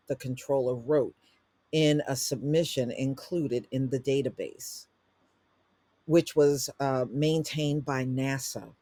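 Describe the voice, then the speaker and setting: A man reading a news article aloud as a presenter, in halting phrases. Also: mid-range voice, a little mellow.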